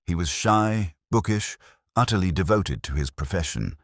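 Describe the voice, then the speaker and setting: A man voicing an earnest, worried gentleman client giving a formal, emphatic account in a Victorian detective audiobook. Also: low-pitched, animated, moderate pace, no echo, clean studio sound, voice pushed up. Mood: earnest, insistent.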